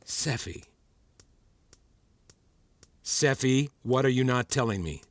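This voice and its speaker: A man's voice: mid-range voice, animated, dry recording. An actor playing an erudite male psychology mentor in an audio drama, didactic and probing, with a patronising, controlling edge. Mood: probing, intent. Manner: measured, didactic, teacherly. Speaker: voice actor in a fiction podcast. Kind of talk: acted audio drama dialogue.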